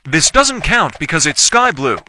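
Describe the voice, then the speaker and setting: Male gameplay commentator, animated intonation, short repetitive statements, noisy recording. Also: on the high side, unhurried. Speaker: gaming video creator.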